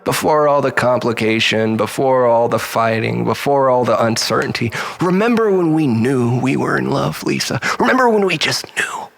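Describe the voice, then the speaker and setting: A man's voice: mid-range voice, lively intonation, normal speed, gravelly, hardly any pauses, fairly bright tone. A man playing an unhinged, self-loathing storyteller in a dramatic monologue, rambling in mock-philosophical, preacher-like repetitions. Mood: pleading. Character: unhinged, self-loathing male storyteller pleading with his lover.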